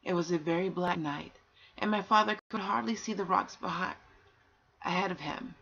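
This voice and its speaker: A woman with a slightly husky voice reads a storybook aloud as bedtime narration, unhurried, pausing between phrases. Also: lowish voice, a bit bright. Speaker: amateur bedtime story reader. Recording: dull, lo-fi home recording.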